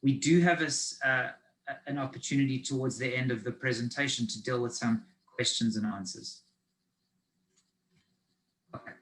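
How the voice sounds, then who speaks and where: South African male presenter, mid-pitched, easygoing explainer with ums and restarts, webinar over a video call. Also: fairly even intonation, normal speed, long pauses, fairly bright tone, poor audio. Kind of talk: live online business finance presentation.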